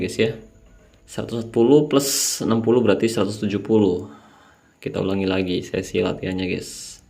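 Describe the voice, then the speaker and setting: A man's voice: low-pitched, gravelly, a little mellow. A young man with a slightly nasal, sleepy voice, casually explaining a mobile game strategy and calling his viewers "guys". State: sleepy, late at night. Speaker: mobile game channel host. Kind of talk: casual gameplay tutorial voice-over.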